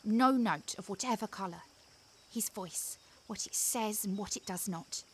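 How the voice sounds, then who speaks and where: A woman's voice: medium pitch, unhurried, a little hiss or hum, natural voice. A young actress in an audio drama playing an eager, innocent and imperious noblewoman, speaking in urgent commands and questions. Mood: urgent, demanding.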